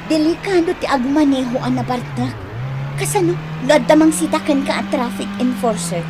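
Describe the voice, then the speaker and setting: Actress as a high-voiced, bossy, anxious wife. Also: female voice, moderate pace, noisy background, lightly acted. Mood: worried nagging. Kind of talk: Ilocano radio drama acting.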